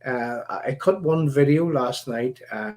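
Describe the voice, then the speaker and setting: Croaky Northern Irish man, halting. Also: medium pitch, animated, darkish tone. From Northern Ireland. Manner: halting, many fillers and restarts. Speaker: livestream host. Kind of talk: rambling live-stream monologue.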